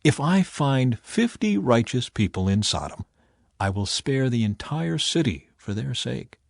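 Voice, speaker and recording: A man voicing God as a grave, measured and merciful judge in a dramatized scripture audiobook. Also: mid-range voice, very animated, vocal fry, no echo, clean studio sound. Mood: calm, measured.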